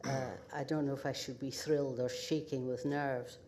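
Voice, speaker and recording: An older woman with a northeast Scottish accent gives a halting acceptance speech full of pauses and wry humour at an awards ceremony. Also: rumbling low, steady tone, dark tone, slight background noise. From northeast Scotland. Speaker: award recipient. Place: ceremony hall at a podium.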